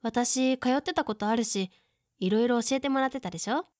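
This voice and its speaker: A woman's voice: a little high, brisk, a little mellow, dry recording, clean studio sound, lightly acted. A woman playing a teasing, perceptive woman in her mid-thirties who puts on cheer, in casual audio drama dialogue.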